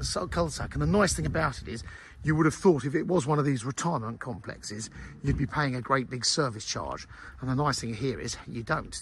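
A hoarse man, an estate agent chatting informally through a walking tour of a house, with fillers and restarts. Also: fairly high pitch, fairly bright tone, slight background noise. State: hoarse, lost his voice. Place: outdoors in a cul-de-sac, then inside a bungalow and garden.

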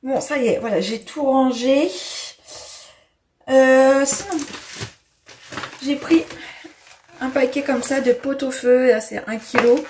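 A woman in her twenties chatting casually through a grocery haul in her home kitchen, unhurried, with many fillers and hesitations. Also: high voice, a little mellow. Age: 20s. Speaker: vlogger. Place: bright home kitchen, indoors.

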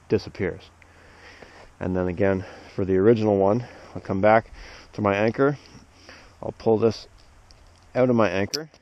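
A man, an arborist instructor, calmly walking through tying a knot step by step outdoors in the snow on a head-mounted camera. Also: lowish voice. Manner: steady, plain step-by-step explaining. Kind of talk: hands-on knot demonstration. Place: outdoors among snowy trees. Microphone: head-mounted action camera. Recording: dull lo-fi camera audio.

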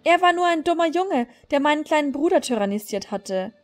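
A woman's voice: sits high, lively intonation, a little fast, voice pushed up. Woman reading as a bitter, brooding young female rider. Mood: guilt-ridden. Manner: dramatic, brooding read-aloud confession. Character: bitter, guilt-ridden young woman rider in a fantasy horse game. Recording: music behind the voice.

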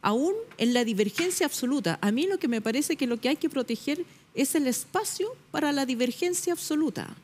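Middle-aged woman journalist on a tv panel, fairly high voice, emphatic, lots of ums, lapel mic. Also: expressive, brisk, darkish tone. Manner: emphatic, searching for words with many fillers. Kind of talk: television panel debate. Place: television studio.

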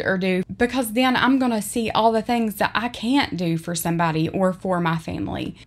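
Middle-aged Southern woman, earnest devotional voice-over for a home vlog. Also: mid-range voice. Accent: Southern American. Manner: earnest, heartfelt, plain-spoken. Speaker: vlogger. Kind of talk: voice-over narration and devotional reading.

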